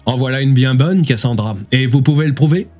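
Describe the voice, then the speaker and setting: Man playing a husky, defensive police inspector on radio. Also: medium pitch. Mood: incredulous. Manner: measured, protesting. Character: male police inspector guest, defensive, straightforward. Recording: muffled radio sound, music behind.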